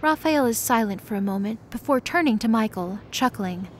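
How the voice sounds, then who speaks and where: A woman's voice: a little high, expressive, normal speed, slight background noise. A woman narrator reading scripted present-tense story narration for a fantasy audio drama.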